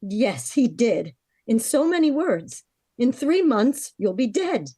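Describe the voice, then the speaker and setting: A woman's voice: fairly high pitch, sing-song, slow, warm tone, slightly rough, no room sound, clean studio sound, exaggerated voice. A woman playing a worried, frank young heiress of twenty-four in a vintage-style radio drama, confiding her story earnestly. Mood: grave, worried.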